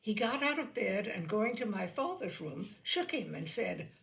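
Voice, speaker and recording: A woman reads a literary story aloud with care. Her pitch moves widely and expressively, and a noticeable creak colors the voice. Phrases are measured and deliberately placed. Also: big pitch swings, fairly bright tone, low-quality recording. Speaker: storyteller reading short stories aloud.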